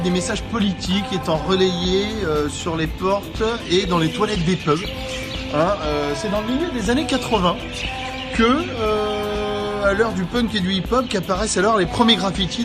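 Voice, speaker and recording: High-voiced man playing jokey tour guide. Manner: jokey, hesitant, halting with frequent pauses. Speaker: self-styled tour guide sending a wedding video message. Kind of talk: casual outdoor video message, playful tour-guide patter. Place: outdoors on city streets and by a river.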